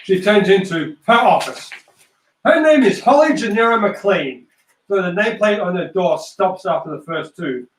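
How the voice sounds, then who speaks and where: A man's voice: high-pitched, animated, normal speed, fairly bright tone, slight background noise. A man narrating a script aloud, reading out stage directions. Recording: echoey room, distant mic.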